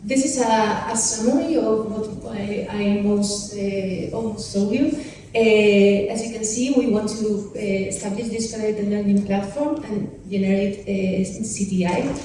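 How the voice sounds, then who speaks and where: A woman giving a short conference pitch, halting with many fillers and restarts. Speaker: conference presenter. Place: conference hall. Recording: echoey hall, mic far off, noisy.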